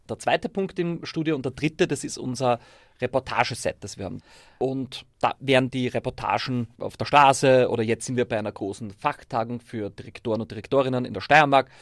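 Austrian man explaining, mid-pitched and dry. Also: no room sound. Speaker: primary school teacher. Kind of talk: informal spoken explanation, showing a setup.

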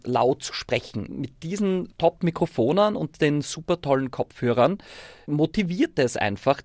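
A forty-year-old man with an Austrian accent talks casually and encouragingly. His voice sits at an ordinary male pitch. The sound is dry, with hardly any room on it. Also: no room sound. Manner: informal, encouraging. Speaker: primary school teacher and musician. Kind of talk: casual explaining and coaching.

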